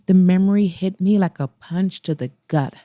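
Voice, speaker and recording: A woman podcast host and narrator reading romance fiction in a dramatic, deliberate way. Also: lowish voice, mellow, no room sound. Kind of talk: first-person romance audiobook narration.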